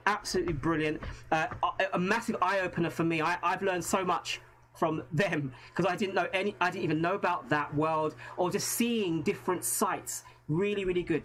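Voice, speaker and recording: A man's voice: a little high, fairly bright tone. Chatty English man hosting a stream. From England. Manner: chatty, repeats words, thanks viewers often. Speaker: host. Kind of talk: casual live-stream chat.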